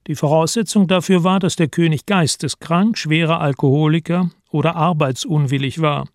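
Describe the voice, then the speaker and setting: An actor as a sober chronicler, giving composed, factual, literary narration in a radio play. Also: male voice, a little high, steady tone, normal speed, no room sound, clean studio sound, lightly acted. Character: sober male chronicler of royal history. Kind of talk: scripted narration.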